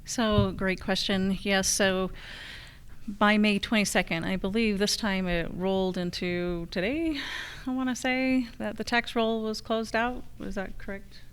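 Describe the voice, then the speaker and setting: A woman answering as a candidate at a public forum, in halting, loosely structured sentences. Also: mid-range voice, fairly even intonation, clean tone. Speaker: challenger candidate for county assessor. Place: live public forum on a college campus.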